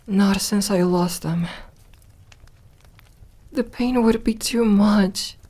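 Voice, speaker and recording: A woman acting as a lonely immortal vampire girl in an intimate ASMR roleplay. She is guarded but tender, with a slow, hesitant delivery at a middling female pitch. Her words come softly and haltingly. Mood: hesitant, sad.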